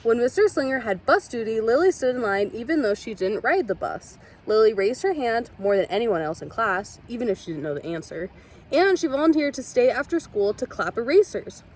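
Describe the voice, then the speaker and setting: A woman reading a children's picture book aloud with expressive storytelling. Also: fairly high pitch, brisk, slight background noise. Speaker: storybook reader.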